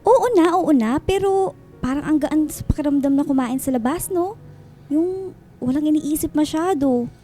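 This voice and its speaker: An actress playing a chatty young student who is unsure in love, in a radio drama, with a Filipino accent. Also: female voice, high voice, normal speed, dark tone, a little hiss or hum, lightly acted. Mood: light, chatty. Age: young adult. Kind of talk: radio drama acting with English code-switching.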